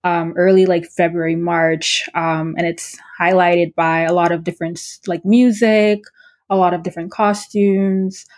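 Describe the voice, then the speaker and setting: Brief: young woman from Trinidad, artist guest, lowish voice, hesitant and filler-heavy. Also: flat delivery, a little slow, darkish tone. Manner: hesitant, many fillers and restarts. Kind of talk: casual interview answers.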